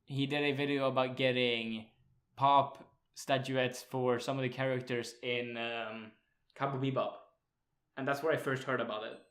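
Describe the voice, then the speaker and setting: A man's voice: mid-range voice, little pitch movement, a bit bright. Young male co-host, Swedish accent, casual podcast banter, giggly, profane, hesitant. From Sweden. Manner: laughs often, swears casually, halting with fillers. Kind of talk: casual chat.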